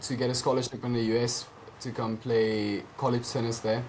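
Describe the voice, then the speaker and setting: A man's voice: medium pitch, level tone, moderate pace, a little mellow, slight background noise, poor audio. A teenage man from South Africa with a South African accent, an Afrikaans native speaker, answering interview questions casually over a video call with lots of hesitant ums. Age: teens. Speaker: guest, junior tennis player.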